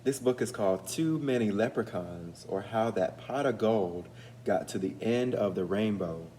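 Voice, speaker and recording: A man reading a storybook aloud as an educator, pausing to put guiding questions to young listeners. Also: on the low side, dark tone, a little hiss or hum.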